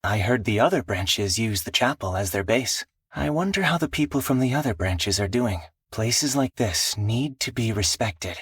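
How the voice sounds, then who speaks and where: A man voicing an earnest, dutiful teenage exorcist swordsman in a video game, speaking solemnly and politely in measured phrases. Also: lowish voice, expressive, a bit bright, slightly rough, dry recording, clean studio sound. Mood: calm, thoughtful. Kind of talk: scripted video game character voice lines.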